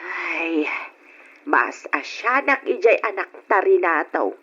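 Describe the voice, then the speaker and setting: A woman's voice: fairly low pitch, big pitch swings, takes it slowly, fairly bright tone, breathy edge, exaggerated voice. A woman acting a worried, opinionated elderly wife and mother who complains and argues in an old radio drama. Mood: reproachful. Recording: dull lo-fi old radio recording.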